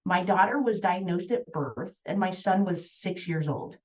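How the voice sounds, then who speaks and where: A woman from the United States reads a prepared statement about her two children in a steady, composed voice pitched slightly low. She sounds set back from the microphone, and the recording is dull and lo-fi. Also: unhurried, far from the mic. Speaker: parent of two patients.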